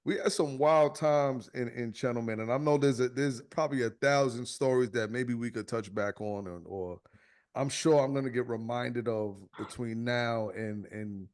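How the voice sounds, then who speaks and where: A man's voice: mid-range voice, moderate pace. A man in his thirties hosting a podcast, telling stories in loose, slangy, profane chat. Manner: slangy, profane, animated storytelling. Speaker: podcast host.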